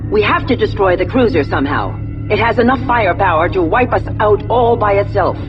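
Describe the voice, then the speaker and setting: A woman's voice: medium pitch, expressive, a little fast, highs cut off. A woman playing a businesslike fleet intelligence officer in a sci-fi game, speaking in a clipped military way through a comms filter. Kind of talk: sci-fi game mission briefing. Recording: band-limited radio sound, loud music behind. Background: music.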